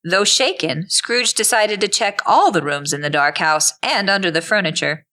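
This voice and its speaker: Mid-pitched woman narrating a story. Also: lively intonation, moderate pace, sharp tone.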